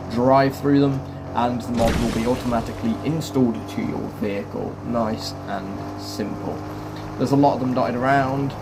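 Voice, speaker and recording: A man gives a chatty gaming walkthrough in a mid-pitched voice, guiding viewers step by step. Game ambience and vehicle noise sit clearly behind him. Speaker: gaming YouTuber presenting a quest guide. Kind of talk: video game walkthrough commentary. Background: video game ambience and vehicle noise.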